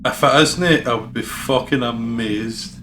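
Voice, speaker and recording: A man's voice: medium pitch, unhurried, pushed and bright, slight background noise. A man giving casual, joking co-commentary on a game, with sweary banter. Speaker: let's play co-commentator.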